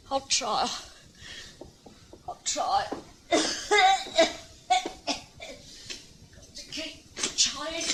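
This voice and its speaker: A woman playing a frail elderly wife in a radio drama, breathy and faltering, with a weak, stammering way of talking. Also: high voice, bright, forceful tone, full character voice. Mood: despairing. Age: older.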